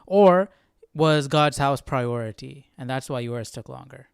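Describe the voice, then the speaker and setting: A man's voice: medium pitch, measured pace, creaky, clean tone, no echo, studio quality. A man hosting a Bible study with casual live commentary, musing and questioning aloud.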